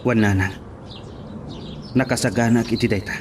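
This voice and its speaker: A man's voice: fairly low pitch, fairly even intonation, normal speed, background noise, lightly acted. A man playing a devoted, defiant young policeman son in an audio drama, earnest and pleading. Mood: determined, lovelorn.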